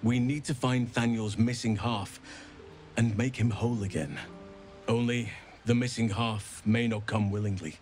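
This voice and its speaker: An actor playing an earnest, burly druid elf in a game, with grave, measured, formal delivery. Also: male voice, mid-range voice, darkish tone, faint music behind, lightly acted. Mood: grave explaining. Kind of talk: dramatic game cutscene acting.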